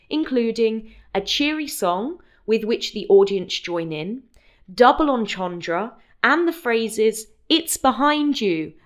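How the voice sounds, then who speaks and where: British woman hosting an educational podcast. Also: mid-range voice. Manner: clear, explanatory, spells out words. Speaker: podcast host. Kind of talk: scripted educational narration.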